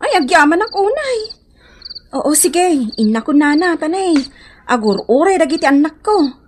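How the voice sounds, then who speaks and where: Plaintive, high-voiced poor farm wife. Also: female voice, big pitch swings, a little slow, a little hiss or hum. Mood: grateful, tired. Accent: Ilocano. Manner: plaintive, lamenting, emotional. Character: weary, aggrieved poor farm wife and mother. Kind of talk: Ilocano radio drama acting.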